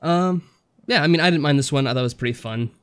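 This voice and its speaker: A man's voice: fairly high pitch, quick pace, a bit bright, smooth. A man talking casually as a podcast co-host, rambling through anecdotes and acting out little asides. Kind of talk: casual chat reviewing games.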